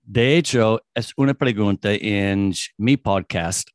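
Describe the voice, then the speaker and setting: A man who speaks English as his first language, talking in halting, hesitant Spanish as an interview guest. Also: fairly low pitch, dry recording, clean studio sound. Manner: hesitant, halting non-native Spanish with restarts. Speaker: podcast host, guest. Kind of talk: interview conversation.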